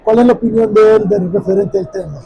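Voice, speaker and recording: A man's voice: high-pitched, fast talker, gravelly, dark tone, slight background noise, low-quality recording. A man hosting a friendly interview at a busy trade fair, with many fillers and restarts. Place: busy expo hall.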